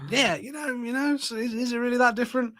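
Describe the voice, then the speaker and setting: A man's voice: very high voice, steady tone, quick pace, fairly bright tone. A man co-hosting a casual podcast, bantering and arguing in an opinionated way with some swearing. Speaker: podcast host.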